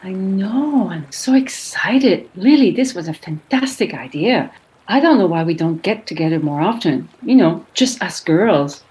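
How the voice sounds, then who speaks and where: A woman playing an excitable, gushing guest on a girls' night out in a radio play. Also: mid-range voice, unhurried, lightly acted, poor audio. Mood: excited. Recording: faint background noise.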